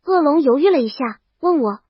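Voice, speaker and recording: High-pitched woman narrating a story. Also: lively intonation, fairly quick, dry recording. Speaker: audiobook narrator. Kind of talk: scripted first-person story narration. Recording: dull, lo-fi dry voice track.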